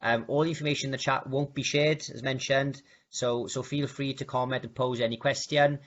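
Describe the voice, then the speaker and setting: Brief: upbeat host, lots of "um"s, on a muffled video call. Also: male voice, mid-range voice, fairly even intonation, a little fast. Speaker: webinar host. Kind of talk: hosting an online webinar.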